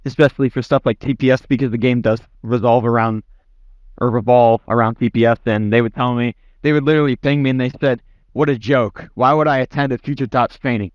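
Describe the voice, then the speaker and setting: A man's voice: medium pitch, steady tone, fairly quick, dry recording, dull audio. A man giving casual interview answers in a blunt, offhand way, swearing freely. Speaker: interview guest, former commander in an online roleplay community.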